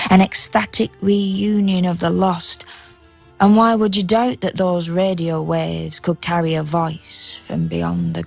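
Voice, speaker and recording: A knowing woman narrator of the night in a poetic radio play. She speaks low and very slowly, in hushed, measured verse with a creaky edge. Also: full character voice. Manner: measured, lyrical, rhyming delivery.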